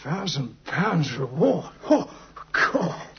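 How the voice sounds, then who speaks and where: A man's voice: fairly high pitch, sing-song, takes it slowly, gravelly, heavily acted. An actor with a London Cockney accent playing a deferential, easily astonished working man, stammering and exclamatory, in old-time radio drama. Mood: dazed, astonished. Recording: muffled, noisy old radio recording.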